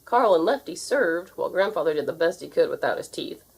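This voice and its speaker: A woman narrates a children's storybook with theatrical expression. Her pitch moves widely from phrase to phrase, and her voice has a creaky, slightly raspy edge. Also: animated, brisk. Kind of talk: animated read-aloud narration.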